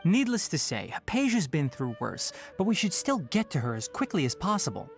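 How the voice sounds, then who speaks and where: A young man playing a composed, scholarly forest ranger in a video game, articulate, measured and matter-of-fact. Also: fairly high pitch, very animated, faint music behind. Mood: dry, matter-of-fact.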